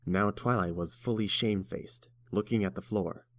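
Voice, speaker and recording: A man's voice: fairly low pitch, normal speed, dry recording, studio quality. A man reading scripted third-person narration for a fantasy story.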